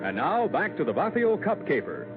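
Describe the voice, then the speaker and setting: Animated American man announcing on tinny old radio. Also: a little high, normal speed, over loud music. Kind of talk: 1940s radio advertising announcement.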